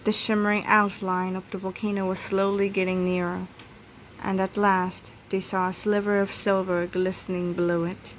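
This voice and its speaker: A woman reading a children's picture book aloud in an expressive storytelling manner. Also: medium pitch, moderate pace, a little hiss or hum, rough recording. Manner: expressive storytelling with acted character voices. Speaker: storybook reader.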